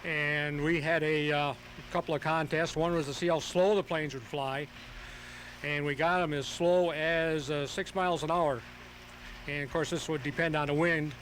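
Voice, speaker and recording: A man being interviewed on radio as a hobbyist, explaining things step by step in a halting, methodical way. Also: a little high, steady tone, fairly bright tone. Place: outdoors at a flying field. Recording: noisy home tape of outdoor radio broadcast.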